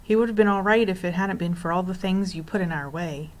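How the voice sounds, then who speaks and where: A woman voicing an earnest, gentle boy in a storybook. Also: fairly low pitch, brisk, natural voice. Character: gentle, earnest boy, protective of his friends.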